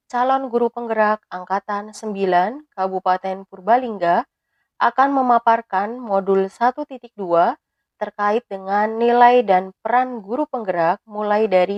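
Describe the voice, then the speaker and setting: A woman in her thirties, a teacher, reading a prepared personal reflection in a measured, even way. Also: mid-range voice, dry recording, muffled recording. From Indonesia. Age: 30s.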